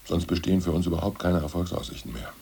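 An actor playing a pompous, scheming ousted party official in a 1980s radio play, talking in a grand, conspiratorial way. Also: male voice, sits low, quick pace, creaky, warm tone, a little hiss or hum.

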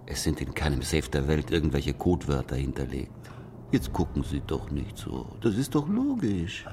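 An actor in a German radio drama playing a shrewd, sardonic escape artist, a needling skeptic with theatrical pauses. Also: male voice, a bass voice, a little mellow, slight background noise, heavily acted. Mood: dry, dismissive.

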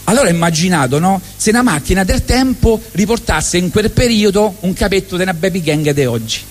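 A middle-aged man with a strong Roman accent tells a comic monologue in a wry, deadpan tone, slipping into quick acted asides. His voice sits a little high for a man. The sound carries the long reverb of a hall. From Rome, Italy. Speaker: monologue performer. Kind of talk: comic storytelling monologue on stage. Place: stage at a live benefit event.

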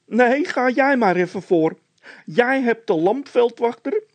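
Very high, squeaky, fretful sidekick. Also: male voice, lively intonation, a little slow, heavily acted. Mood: insistent. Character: squeaky, fretful male sidekick, stubborn and anxious. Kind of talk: children's audiobook character voice.

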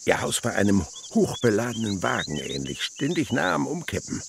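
Wildly animated, gravelly father naturalist. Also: on the low side, normal speed, slight background noise, heavily acted. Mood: excited, dramatic. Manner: theatrical, swinging between hushed wonder and exclamation. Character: imaginative, playful father and insect researcher, spinning wondrous tales. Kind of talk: German radio play acting.

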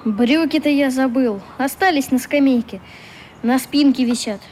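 Unhappy little boy in a radio drama, high voice, halting n earnest, bit of background noise. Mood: dejected. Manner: halting, dejected, polite. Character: unhappy young boy, shy and earnest, pleading. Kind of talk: radio play acting. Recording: light steady background noise.

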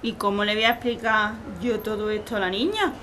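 A woman's voice: medium pitch, a little fast, sharp tone, slight background noise, close to an everyday voice. A woman playing a bewildered young mother facing eviction in a radio drama, anxious and full of questions.

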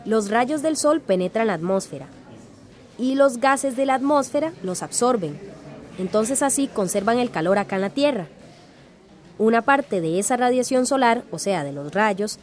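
A woman playing an earnest, well-informed villager in a radio drama, explaining things clearly. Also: a little high, expressive, moderate pace, a little mellow, natural voice. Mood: earnest, explanatory.

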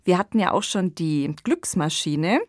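A woman's voice: fairly low pitch, lively intonation, moderate pace, no room sound, studio quality. A woman, co-hosting a podcast and discussing things in a conversational, analytical style. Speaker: podcast co-host.

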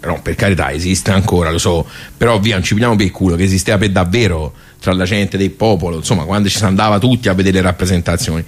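A man's voice: low voice, fast, few pauses. A man with a Tuscan accent performing a colloquial, rambling storytelling monologue, full of asides to his listeners.

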